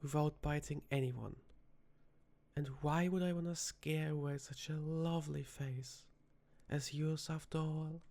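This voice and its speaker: A man playing a flirty, charming vampire bartender in a soft-spoken ASMR roleplay, teasing and seductive in an unhurried way. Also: fairly high pitch, steady tone, gravelly. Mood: reassuring, playful.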